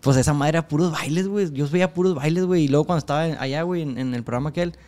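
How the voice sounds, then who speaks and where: Podcast guest: twenties, male, rapid relaxed slang, low warmth in tone. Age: 20s. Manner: slangy, says "güey" constantly, laughs often. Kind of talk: casual chat.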